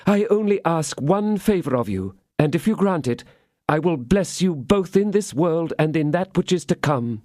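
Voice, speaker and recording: A man's voice: a little high, animated, moderate pace, a little mellow, no echo, clean studio sound, pitched above the performer's own voice, close to an everyday voice. A man voicing a scheming woman prisoner who pleads and prays in feigned, sobbing despair. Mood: pleading.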